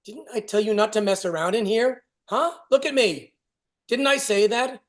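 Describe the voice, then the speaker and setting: A man's voice: high voice, fairly bright tone. A man playing a stern, short-tempered father hectoring his child in a staged play reading. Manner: hectoring, rapid-fire questions.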